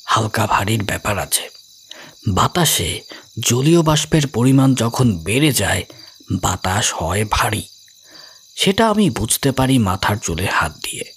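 A man playing a brilliant, calmly amused middle-aged sleuth who explains his reasoning in a measured way, in an audio story. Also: on the low side, slight background noise. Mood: friendly, explaining.

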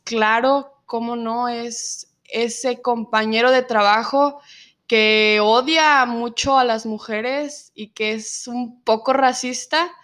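A nineteen-year-old woman from Mexico with a Mexican Spanish accent, telling her own story in an interview and pausing often on fillers. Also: fairly high pitch, a bit bright. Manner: reflective, many filler pauses. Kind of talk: personal testimony in an interview.

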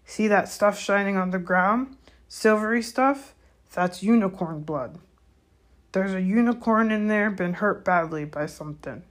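Storybook gamekeeper, acted by a woman: big gruff man, colloquial and bossy, raised pitch, fierce with a caring streak. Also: unhurried, close to an everyday voice. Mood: grave. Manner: rustic, colloquial, commanding. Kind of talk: audiobook character voice.

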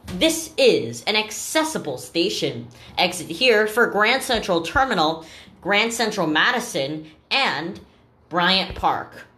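A woman delivers scripted transit announcements in a slightly low voice. She speaks slowly and formally, with pitch that rises and falls widely across each phrase, and sets listed items apart with clear pauses. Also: very animated, sharp tone. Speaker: subway train announcer.

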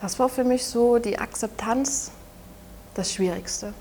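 Saxon accent woman, late 20s, kinda high voice, halting and quiet, restarts a lot, faint hiss behind. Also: poor audio. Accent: Saxon German. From Saxony, Germany. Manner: halting, soft-spoken, with frequent restarts. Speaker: interview guest. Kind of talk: personal testimony in an interview.

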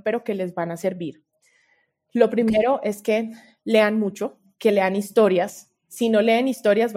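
A woman with a Colombian accent, speaking as a podcast guest and researcher, giving advice in an earnest, expressive and casual conversational way. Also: medium pitch.

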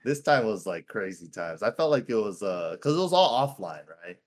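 A relaxed man in his thirties, from Hawaii, talking as a guest on a podcast. His talk is loose and friendly, with "dude" and "man" all through it. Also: lowish voice, moderate pace. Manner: laid-back, keeps calling people "dude". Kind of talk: casual chat.

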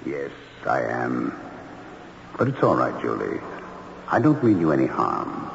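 Spooky, low, echoing gentleman ghost. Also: big pitch swings, creaky, full character voice. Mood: gentle, confiding. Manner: formal, deliberate storytelling. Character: courteous, melancholy male ghost of a Victorian house owner. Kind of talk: old radio mystery acting. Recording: muffled, noisy old radio recording with echo.

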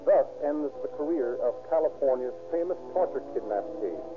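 A man's voice: medium pitch, expressive, moderate pace. A man giving a stiff, formal, scripted address as a police captain on 1930s radio. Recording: tinny old-time radio recording. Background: music.

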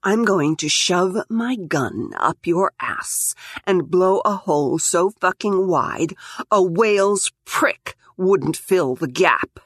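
A woman's voice: on the low side, lively intonation, takes it slowly, husky, no room sound, clean studio sound, full character voice. A woman voicing a tough young cyborg mercenary, a hardened fighter who screams profanities in grief and rage. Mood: cold menace.

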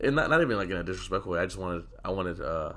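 A man's voice: low voice, expressive, rapid-fire, a bit bright. A young man co-hosting a podcast in casual chat, sick and coughing, talking in a loose, profane, rambling way. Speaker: podcast co-host.

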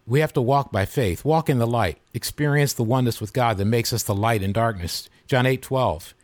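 Earnest male podcast host in his fifties, measured preacher-like delivery, dry close sound. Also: mid-range voice, no room sound. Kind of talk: devotional podcast talk.

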